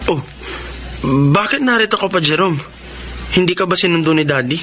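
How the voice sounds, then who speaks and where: A woman playing an eager, excitable young son in a Filipino radio drama. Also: lowish voice, brisk, music in the background, natural voice. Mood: surprised, curious.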